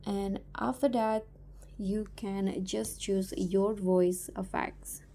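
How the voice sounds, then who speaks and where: A woman hosting a step-by-step app tutorial, talking in a halting, instructional way. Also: mid-range voice, fairly even intonation, mellow, a little hiss or hum. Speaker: tutorial host.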